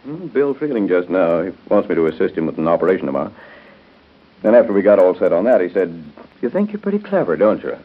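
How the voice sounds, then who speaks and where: An actor playing a busy, good-natured doctor in a 1930s radio drama, conversational and hesitant. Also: male voice, sits low, sing-song, fast, slightly rough, heavily acted. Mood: puzzled. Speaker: radio soap opera actor. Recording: muffled lo-fi 1930s radio broadcast.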